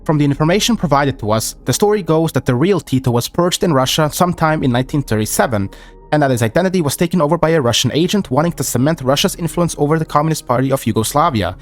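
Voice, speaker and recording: Male narrator, slightly high voice, measured scripted read, soft music bed. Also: expressive. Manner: measured, reads document quotes aloud.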